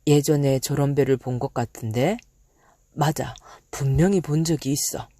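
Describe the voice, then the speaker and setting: A woman voicing a calm, musing father in a children's storybook. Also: a bass voice, normal speed, no room sound, clean studio sound, voice pushed down.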